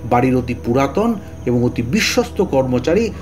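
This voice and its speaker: An actor playing a talkative, excitable middle-aged police detective in an audio drama, blustery, often stammering and repeating words. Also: male voice, medium pitch, normal speed, over music, lightly acted. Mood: grave, explanatory. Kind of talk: Bengali audio story acting.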